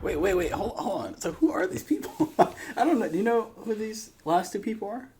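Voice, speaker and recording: A man's voice: high-pitched, big pitch swings, moderate pace, darkish tone, a little hiss or hum. A man hosting a casual reaction video, amused and given to swearing exclamations.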